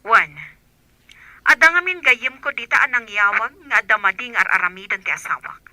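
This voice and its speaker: A woman's voice: fairly high pitch, expressive, a little fast, sharp tone, dry recording, low-quality recording. A woman acting a suspicious, secretive wife and mistress of the house in a radio drama.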